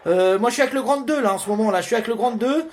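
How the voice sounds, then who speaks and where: A man's voice: very high-pitched, very fast. A man chatting casually during an amateur radio contact, as one of the operators.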